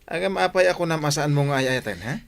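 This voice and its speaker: Man playing bantering young Ilocano buddy, higher-pitched. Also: animated, fast, gravelly. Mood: teasing. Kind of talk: radio comedy-drama acting.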